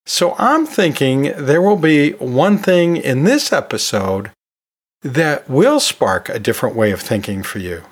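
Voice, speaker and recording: A man hosting an educational podcast solo, talking in a conversational, folksy way with joking asides. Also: fairly high pitch. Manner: folksy, jokey asides with chuckles. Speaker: podcast host, anatomy and physiology teacher.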